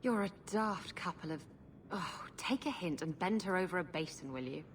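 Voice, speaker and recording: A woman's voice: fairly low pitch, expressive, moderate pace, slight background noise, close to an everyday voice. Woman as a wry, teasing female adventurer. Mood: exasperated, teasing. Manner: dry, sardonic. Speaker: video game voice actor. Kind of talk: cinematic game dialogue acting.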